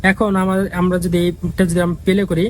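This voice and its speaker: A man's voice: sits high, little pitch movement, brisk. A man giving a casual, repetitive step-by-step app tutorial as the host. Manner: repetitive, instructional.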